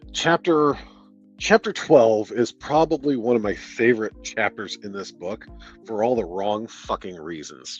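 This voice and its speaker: A man's voice: on the low side, muffled recording. A man from the Detroit area with a Midwestern American accent, casually and sarcastically reviewing a book on a livestream, swearing and laughing as he goes. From Detroit area, United States. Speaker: streamer reviewing a novel.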